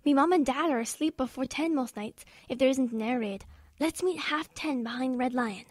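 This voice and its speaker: A curious, adventurous little lad. The voice is high and excitable, with a dry sound suited to a radio play. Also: female voice, moderate pace, dry recording. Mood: adventurous, boastful. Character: excitable, curious, adventurous young English boy. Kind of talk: radio drama acting.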